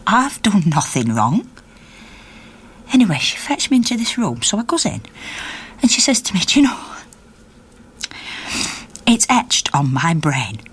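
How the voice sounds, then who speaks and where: A woman's voice: fairly low pitch, very animated, a little slow, long pauses, slightly rough, heavily acted. An actress playing a middle-aged Yorkshire miner's wife, an indignant activist telling her story conversationally and acting out the dialogue in a naturalistic radio drama. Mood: aggrieved.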